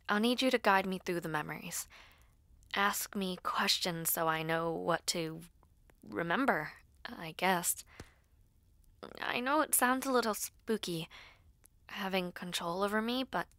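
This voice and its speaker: A woman acting an earnest, nervous school-age girl who dabbles in spells, speaking haltingly and intimately to the listener in an audio roleplay. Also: on the low side, gravelly, no echo, full character voice. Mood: hesitant, trusting. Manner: hesitant, halting, soft-spoken asides.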